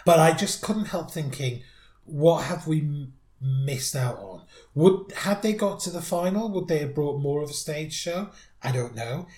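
A man's voice: fairly high pitch, animated, unhurried, a little mellow. A man co-hosting a podcast, chatting thoughtfully about songs, with frequent restarts. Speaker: podcast co-host. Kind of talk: casual chat reviewing songs. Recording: roomy echo.

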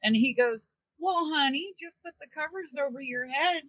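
A woman chatting and telling stories as a guest, animated, acting out the reactions she quotes. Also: sits high, moderate pace, no room sound. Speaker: radio show co-host as guest. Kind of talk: conversational storytelling.

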